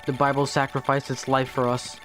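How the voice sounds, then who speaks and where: A man streams a game live, answering viewer chat as he plays and talking his strategy through out loud. His voice sits at an ordinary middle pitch. Light noise hums faintly behind him. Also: completely flat delivery, a little fast. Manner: chatty, answers viewer chat while playing, thinks aloud. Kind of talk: casual livestream gameplay chat. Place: home, in Texas.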